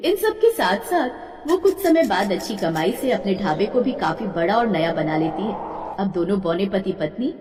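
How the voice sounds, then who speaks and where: A woman's voice: mid-range voice, sing-song, normal speed. A woman narrating a scripted folk tale as a storyteller. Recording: echoey reverberant distant voice, faint noise.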